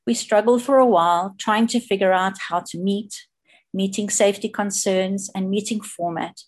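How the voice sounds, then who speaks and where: A South African woman sharing her personal story in a plain, steady way over a video call. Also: mid-range voice, moderate pace.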